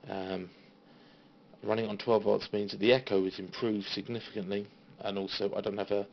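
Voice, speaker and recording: A British man talks in a low, creaky voice, giving an informal show-and-tell in a halting, understated way. The sound is a dull phone recording with faint noise behind him. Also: level tone. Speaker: CB radio hobbyist giving a tour of equipment. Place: small radio room at home. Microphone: handheld phone.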